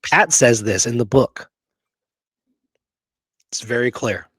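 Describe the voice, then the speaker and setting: A man co-hosting a casual history discussion, telling the story in an animated way with rhetorical questions. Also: mid-range voice, slow pace, breathy edge, no room sound. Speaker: podcast co-host presenting history research.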